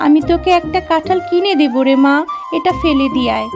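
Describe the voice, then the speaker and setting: A woman's voice: sits high, quick pace, over music. A woman voicing a caring, worried animal mother in a children's cartoon, with a coaxing, motherly way of talking. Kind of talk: children's cartoon story acting.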